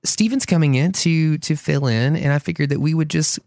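A man hosting a podcast in casual chat, with a nasal voice, goofy and quick to laugh. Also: on the high side, dry recording. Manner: goofy, laughs often, animated. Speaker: podcast host. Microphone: studio mic with headphones.

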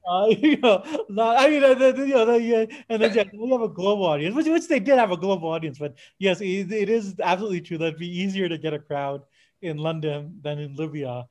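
A man's voice: sits high, a little fast, darkish tone. A man casually retelling anecdotes in a hesitant, fragmented way, often searching for his words. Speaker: podcast guest or co-host discussing a film.

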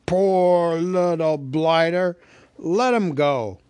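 A man voicing a huge, slow, dim-witted male troll with a slow, slurring drawl. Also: on the high side, a little mellow, clean tone, no room sound, voice pushed up. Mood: sozzled.

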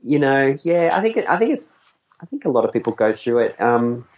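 A man's voice: mid-range voice, sing-song, fairly quick, a little mellow, lo-fi sound. A man in his forties, a wedding photographer, talking casually in a rambling, self-deprecating way and laughing easily. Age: 40s. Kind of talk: casual conversation.

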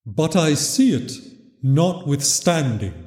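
A man's voice: on the high side, very animated, slow, a little mellow, pitched above the performer's own voice. A man voicing the tormented, mournful ghost of a dead miser in a storybook reading, solemn, wailing and drawn out. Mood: solemn, ominous. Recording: very echoey, long reverb.

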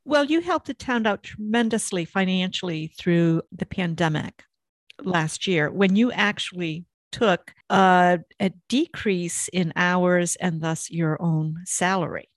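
A middle-aged woman hosting a relaxed, conversational interview. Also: on the low side, unhurried, no room sound. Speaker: interview host, former small-town mayor.

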